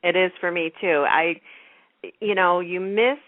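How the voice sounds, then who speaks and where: A woman's voice: lowish voice, steady tone, measured pace, no room sound. Woman host on a phone-like line. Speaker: radio show host. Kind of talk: casual chat. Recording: muffled narrowband telephone-like radio line.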